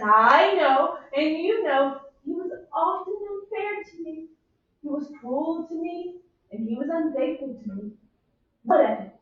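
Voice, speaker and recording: Lady playing a super high, slow, dramatic southern widow moping in her grief, echoey and far off. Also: exaggerated voice. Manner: theatrical, sighing, swings to wailing. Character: melodramatic young widow in mourning. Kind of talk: amateur play reading. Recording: echoey room, far from mic, dull.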